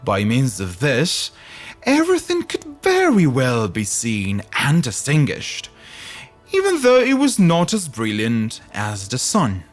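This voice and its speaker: A man narrating a scripted fairy tale in a theatrical, deliberate storytelling manner, as a podcast host would. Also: mid-range voice.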